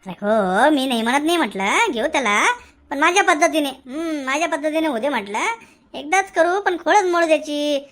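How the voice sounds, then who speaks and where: Cartoon schemer, woman as village man: high-pitched, excitable, insistent. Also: fairly quick. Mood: scheming, excited. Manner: insistent, repeats phrases. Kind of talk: cartoon comedy dialogue. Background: faint music.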